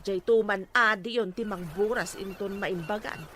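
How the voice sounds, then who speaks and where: A woman's voice: mid-range voice, fairly even intonation, moderate pace, a bit bright, slight background noise, close to an everyday voice. A woman playing a reproachful farm mother in an Ilocano radio drama. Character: reproachful farm mother defending her hardworking son.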